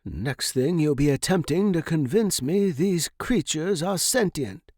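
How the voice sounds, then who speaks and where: A man's voice: fairly high pitch, a little mellow, no room sound, clean studio sound, pitched above the performer's own voice. A man voicing a stern, pompous Victorian academic mentor who speaks formally and admonishingly, as in an audiobook.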